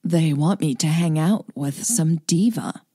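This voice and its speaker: A woman's voice: low voice, measured pace, warm tone, husky, dry recording, exaggerated voice. A woman voicing a wry, tired young businesswoman who murmurs dry, teasing asides to her cat late at night.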